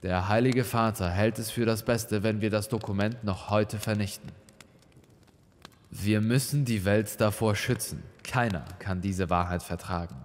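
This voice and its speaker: A man's voice: fairly low pitch, steady tone, measured pace, in a large hall, lightly acted. Man playing older churchman, grave, formal, insistent, solemn speech, horror audio story. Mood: grave urging. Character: grave monsignor urging secrecy, older churchman. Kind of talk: dramatic audio story dialogue.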